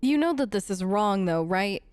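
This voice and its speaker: A woman's voice: medium pitch, animated, normal speed, a little mellow, clear, resonant tone, no room sound. A woman doing casual role-play commentary for a video game, wry and conversational, on a close microphone. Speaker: let's play host.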